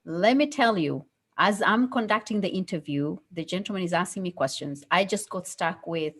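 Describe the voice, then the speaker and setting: A woman's voice: on the low side, moderate pace, fairly bright tone, slightly rough. Somali-accented woman on a community radio chat, earnest and candid, keeps checking in with "okay?". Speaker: radio co-host.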